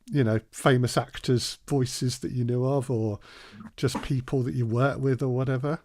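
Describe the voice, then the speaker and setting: A man's voice: medium pitch, steady tone, normal speed, a little mellow, no echo, clean studio sound. A man co-hosting a conversational workshop, talking discursively and building up to his questions. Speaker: workshop co-host and interviewer.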